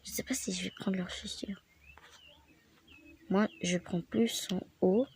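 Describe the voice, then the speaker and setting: A woman's voice: mid-range voice, fairly even intonation, slow, a little mellow, a little hiss or hum. Chatty woman with a head cold. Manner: rambling, chatty, with whispered asides. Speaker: gaming video creator. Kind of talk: casual game tutorial chat.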